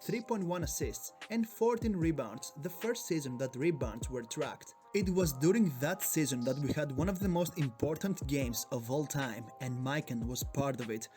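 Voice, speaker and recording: A man's voice: a little high, animated, normal speed, few pauses, a little mellow, faint music behind. A man from Greece with a Greek accent, narrating a sports video in a hyped, joking, profane way. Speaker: sports YouTuber.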